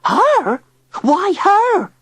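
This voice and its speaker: A piercing, very high old woman who shrieks her questions and scolds everyone. The voice swoops up in sharp, loud cries. It is recorded close and dry for a storybook reading. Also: very animated, extremely slow, dry recording, exaggerated voice. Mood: shrill scolding. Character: shrill, screeching old woman keeper.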